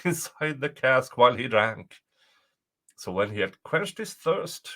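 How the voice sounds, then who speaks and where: Male storyteller with very animated intonation. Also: medium pitch. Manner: deliberate, dramatic phrasing. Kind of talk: expressive folktale reading.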